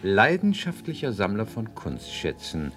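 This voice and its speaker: An actor playing a courteous, flattering palm reader in a German radio play, delivering measured pronouncements with obsequious politeness. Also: male voice, lowish voice, over music. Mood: solemn, courteous.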